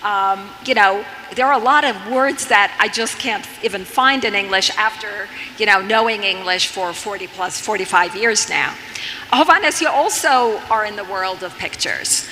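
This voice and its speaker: A woman's voice: mid-range voice, moderate pace, bright, forceful tone. A woman in her fifties whose first language is Armenian, moderating a conference panel with polite questions. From Armenian family. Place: large conference hall. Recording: hall reverb, faint noise.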